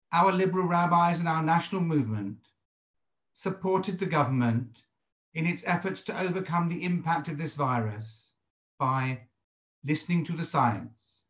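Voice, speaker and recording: A British man in his sixties, a rabbi giving a sermon in a measured, formal and deliberate way over a video call from a home study. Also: fairly high pitch, fairly bright tone, reverberant. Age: 60s. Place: indoors, home study with bookshelves. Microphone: computer microphone on a video call.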